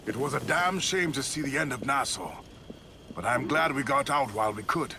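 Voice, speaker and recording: An actor playing a hearty, plain-spoken pirate shipmate in a video game, expressive and loyal in manner. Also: male voice, medium pitch, normal speed, a little hiss or hum. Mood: rueful. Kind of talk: video game cutscene acting.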